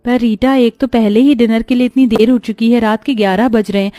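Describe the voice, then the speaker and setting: Woman acting a meek, high-voiced young stepsister. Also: steady tone, fairly quick, dark tone, no room sound, natural voice. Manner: pleading, submissive. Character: meek, kind, mistreated young stepsister serving her family. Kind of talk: animated Hindi moral story acting.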